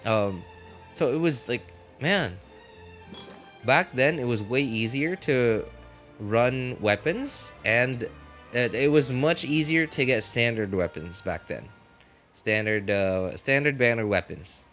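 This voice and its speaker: A man hosting a game livestream, rambling casually and excitably, exclaiming and musing aloud. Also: medium pitch, slow. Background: game music.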